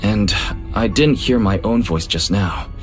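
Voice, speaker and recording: An actor voicing a gentle, thoughtful young man in an anime-style video game, caring toward teammates. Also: lowish voice, measured pace, faint music behind, lightly acted. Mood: puzzled.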